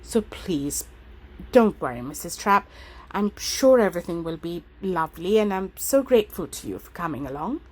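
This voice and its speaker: A woman's voice: mid-range voice, a little mellow, lightly acted. Woman voicing an anxious, placating young woman. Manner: placating, apologetic, quick. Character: polite, anxious young woman of twenty-seven.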